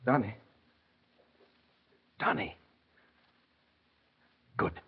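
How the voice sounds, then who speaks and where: An actor playing a noble, self-sacrificing English gentleman in a mid-century radio drama, urgent yet composed, with a husky voice. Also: very slow. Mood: urgent, resolved. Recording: tinny old radio broadcast recording.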